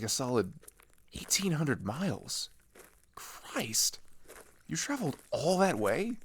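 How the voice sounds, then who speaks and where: Slow, gravelly, easygoing teen traveler played by a man. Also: medium pitch, slight background noise, exaggerated voice. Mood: amazed. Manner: casual, hesitant, halting, full of pauses and sighs. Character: friendly, chatty teen migrant boy, wry and easygoing. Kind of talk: ASMR roleplay, one-sided conversational acting.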